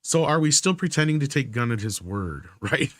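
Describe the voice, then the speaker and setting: A man's voice: medium pitch, big pitch swings, normal speed, a bit bright, dry recording. A man hosting a live stream, chatting informally and jokingly while reading out viewer comments. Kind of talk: casual panel chat.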